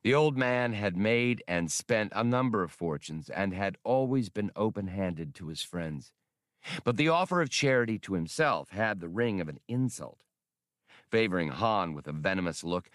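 A man narrates scripted fiction as an audiobook reader. His voice sits on the low side, and the recording is dry with no audible room. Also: lively intonation, normal speed, no echo.

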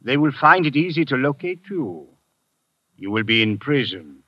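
An actor playing a formal French inspector. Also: male voice, medium pitch, sing-song, very noisy, lightly acted. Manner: formal, measured. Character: French police inspector, middle-aged man, formal. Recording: dull old radio broadcast.

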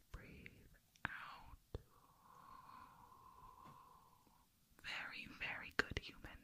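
A woman voicing a calm, commanding alien girl in a hypnosis ASMR roleplay, giving soothing, measured instructions in a breathy whisper. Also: low-pitched, full character voice. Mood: hushed, soothing.

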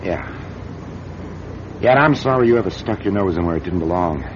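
A man's voice: sits low, animated, brisk. An American man playing a ruthless, cornered corporation president in a radio drama, self-justifying and menacing. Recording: dull, noisy old radio drama recording.

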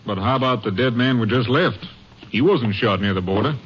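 A man plays a brisk American lawman captain who is plainly in charge. He is businesslike and plain-spoken in old radio western style, on a muffled recording with faint noise. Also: medium pitch, lightly acted. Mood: probing, open-minded. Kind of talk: 1950s western radio drama acting.

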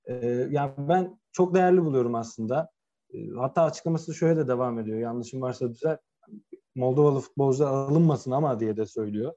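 Mellow-voiced man arguing football, dull recording. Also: mid-range voice, fast. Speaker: football podcast co-host. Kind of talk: casual opinionated football discussion. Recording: dull, lo-fi sound.

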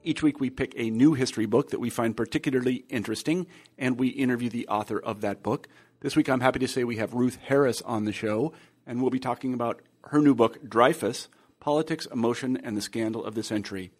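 Midwest american guy hosting a book interview show, mid pitch, chatty with lots of uhs. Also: fairly quick, a little mellow. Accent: General American. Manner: conversational, with frequent uh fillers and restarts. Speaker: podcast host. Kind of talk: interviewing an author.

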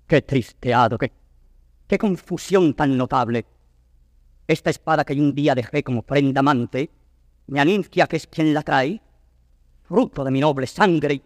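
Older nobleman played by a male actor, grave and tormented, classical verse declamation, slightly high voice in long reverb. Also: clean studio sound, lightly acted. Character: anguished old nobleman torn between honour and fatherly love.

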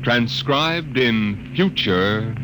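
A man's voice: mid-range voice, animated, drawn-out words, heavy background noise. An American man announcing a vintage radio show in a portentous, theatrical style. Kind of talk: dramatic 1950s radio show introduction. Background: loud music.

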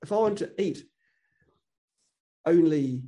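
A man's voice: on the high side, expressive, slow, dark tone. A man speaking as an interview guest in a casual conversation, earnest and opinionated, often restarting his sentences.

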